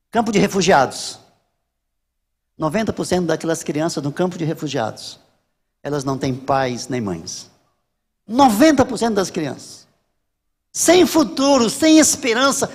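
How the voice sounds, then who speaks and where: A Brazilian man delivers a church sermon in a high voice. He speaks emphatically, leaning on repetition and deliberate pauses. Long hall reverb trails his words. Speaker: Baptist pastor preaching. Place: large church hall during a service.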